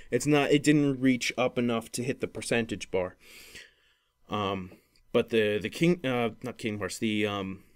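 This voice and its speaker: A man hosting a casual gaming podcast, explaining things in a rambling, hesitant way and often correcting himself. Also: on the low side. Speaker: podcast host.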